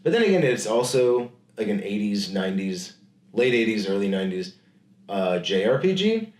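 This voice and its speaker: A man with a low voice talks casually as a podcast co-host. He rambles, restarts and slips into quick silly voices for quotes. The room around him sounds echoey. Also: very animated, darkish tone. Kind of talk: casual chat.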